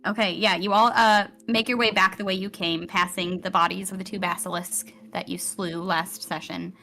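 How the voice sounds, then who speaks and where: A woman's voice: on the low side, fairly even intonation, moderate pace, vocal fry, bright, forceful tone. A woman narrating a live tabletop roleplaying game as game master, with theatrical storyteller phrasing. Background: music.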